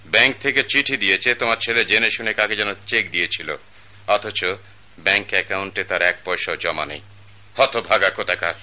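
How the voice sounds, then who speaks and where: A man's voice: on the low side, brisk, bright, forceful tone. An actor playing a stern, self-righteous wealthy father, older in age, in a radio drama. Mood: scolding. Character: wealthy stern patriarch, pompous older man.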